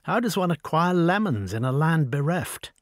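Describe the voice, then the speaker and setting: Posh older lady in a storybook, brisk and teasing, pitched up, lots of swing in the voice, man doing her. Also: big pitch swings, no echo, studio quality. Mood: commanding. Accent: upper-class English. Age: over forty. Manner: brisk, commanding, wry and talkative. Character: tall, proud older woman, brisk and teasing. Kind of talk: audiobook dialogue.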